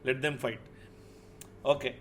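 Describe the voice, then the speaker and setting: A man's voice: medium pitch, steady tone, measured pace, crowd noise. A man with an Indian accent hosting a casual group chat about films and games. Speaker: podcast host. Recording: music under the voice. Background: music.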